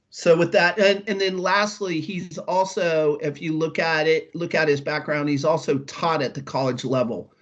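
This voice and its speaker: A man hosting an event, introducing a guest speaker in a measured way with a few fillers. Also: fairly high pitch, dull audio. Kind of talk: formal introduction.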